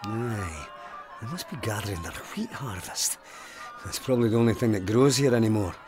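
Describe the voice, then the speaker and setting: An actor with a Scottish accent playing a grim, haunted, commanding police sergeant in a formal, clipped manner in an audio drama. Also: male voice, fairly low pitch, lively intonation, normal speed, a little mellow, soft background music, lightly acted. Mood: wary. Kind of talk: full-cast audio drama acting.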